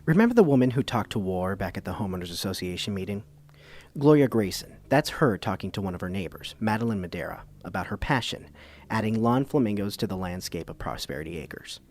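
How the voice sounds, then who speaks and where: A man's voice: fairly low pitch, sing-song, fairly quick, darkish tone. A man playing an earnest documentary radio host, delivering scripted narration. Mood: measured, storytelling.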